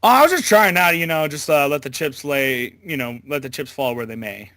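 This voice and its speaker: A man giving a casual interview as a voice actor, talking in a halting, loosely worded way. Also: on the high side, animated, pushed and bright, no echo, clean studio sound.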